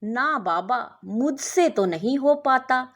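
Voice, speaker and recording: A woman's voice: high voice, expressive, takes it slowly, fairly bright tone, heavily acted. A woman storyteller voicing a nosy, scornful working woman who exclaims and needles with pity. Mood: amazed, complaining.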